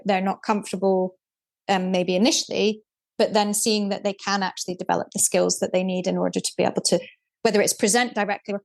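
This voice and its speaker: A woman speaking as a podcast guest, explaining things in a measured way with frequent restarts. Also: mid-range voice. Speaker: guest, deputy programme director. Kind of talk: casual podcast conversation.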